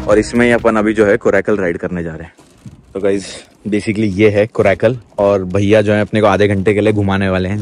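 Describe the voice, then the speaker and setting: A young man talking excitedly on a casual travel vlog, mixing English words into his Hindi. He records outdoors by a river and in a small round boat, speaking into a handheld camera. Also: lowish voice. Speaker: travel vlogger.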